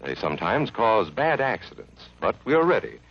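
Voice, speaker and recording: A man's voice: lowish voice, huge pitch swings, normal speed, husky. Earnest 1950s film narrator, a man. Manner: earnest, friendly, instructive. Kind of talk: scripted educational film narration for children. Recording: muffled 1950s film soundtrack.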